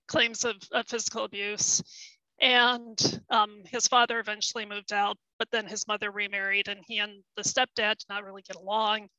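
A woman's voice: fairly high pitch, bright, forceful tone, no room sound, poor audio. A middle-aged woman historian giving a careful online talk, hesitating with ums and losing her voice. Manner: explanatory, careful, with frequent ums and self-corrections. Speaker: historian presenting research. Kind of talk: webinar presentation.

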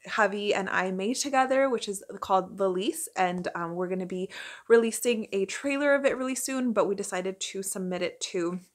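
A woman's voice: medium pitch, moderate pace. Young woman chatting casually. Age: young adult. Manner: chatty, informal. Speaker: vlogger and working actor. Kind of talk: casual first-person vlog to camera.

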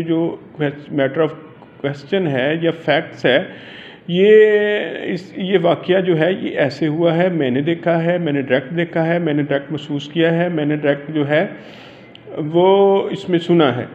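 High-pitched Pakistani man giving a law lesson. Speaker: law lecturer. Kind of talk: informal explanatory lecture, switching into English legal terms. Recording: dull lo-fi audio with faint noise.

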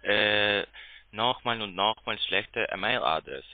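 Male agent, foreign accent, lowish voice, dry telephone sound, broken grammar, hesitant and repetitive delivery. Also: dry recording. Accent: foreign accent in German. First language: not German. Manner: halting, broken grammar, repeats himself. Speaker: call centre agent. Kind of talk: phone conversation. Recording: dry narrow phone line.

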